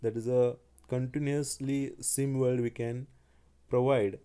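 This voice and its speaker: A man giving a slide-based technical lecture, speaking haltingly with frequent restarts and fillers. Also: mid-range voice, level tone, dark tone, clear, resonant tone. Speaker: engineering lecturer.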